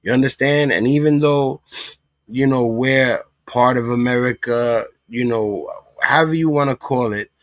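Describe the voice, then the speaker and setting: A man from Puerto Rico hosting a livestream, speaking at an average pitch and stressing his points. The recording is dry, with little room sound. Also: unhurried, no room sound. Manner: emphatic, talks in repeated asides. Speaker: livestream commentary host. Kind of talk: casual reaction commentary.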